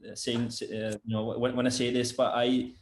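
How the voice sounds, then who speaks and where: A man's voice: medium pitch, little pitch movement, moderate pace. A man talking casually on a video call, rambling with many fillers and restarts. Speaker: sound engineer and product developer, guest. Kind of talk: casual interview chat. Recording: roomy echo.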